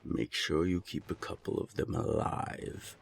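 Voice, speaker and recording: A man playing a menacing, sly naga gang boss in an audio roleplay, with a gravelly, husky voice and a taunting, contemptuous manner. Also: low voice, full character voice. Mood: condescending. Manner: slow, taunting, deliberate.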